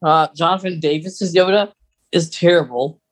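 Higher-voiced man, halting podcast co-host. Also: fairly even intonation. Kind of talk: casual fan discussion.

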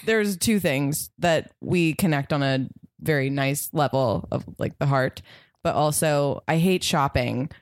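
A woman, an improv comedian guest, talking earnestly and a little haltingly about her friends. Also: deep voice, no echo, clean studio sound. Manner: earnest, self-conscious, halting. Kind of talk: casual chat.

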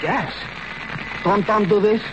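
High-voiced Spanish-accented henchman. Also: takes it slowly. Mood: naive, wary. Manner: broken English, defiant. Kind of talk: 1940s radio drama acting. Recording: muffled, noisy old radio recording.

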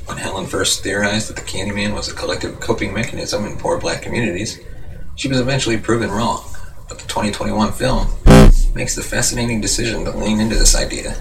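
A man hosting a movie show, reading scripted news and reviews in a slightly halting way. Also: fairly low pitch. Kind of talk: scripted narration, reading box office news and a film review. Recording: noisy room, distant microphone.

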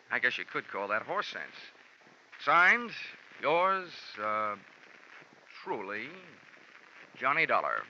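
Vintage radio private-eye: American, wry and hardboiled, slightly high male voice, dull 1940s sound with music behind. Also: pushed and bright, a little hiss or hum, exaggerated voice. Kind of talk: 1940s radio detective drama, first-person narration. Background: dramatic radio music.

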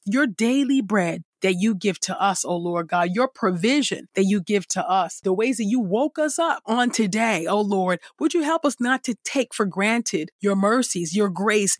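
A woman reading scripture and praying as a devotional host, with measured, reverent phrasing. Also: mid-range voice, dry recording, studio quality. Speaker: devotional podcast host and Bible reader.

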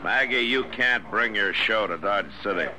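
An American actor playing a stern, stubborn frontier marshal in a 1950s radio western, with a dry, measured, firm delivery. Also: male voice, on the low side, natural voice. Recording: noisy old radio recording.

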